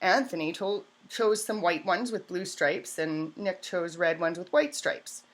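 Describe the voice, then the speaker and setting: A woman's voice: fairly low pitch, lively intonation, unhurried. Woman reading a children's storybook with drama. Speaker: storybook reader. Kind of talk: expressive read-aloud of a children's picture book.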